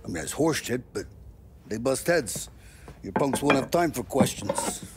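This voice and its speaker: A man's voice: mid-range voice, sing-song, normal speed, heavy background noise. A man playing a folksy, chatty weapons supplier to the mob in a video game. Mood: chatty, wry. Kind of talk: dramatic video game cutscene acting.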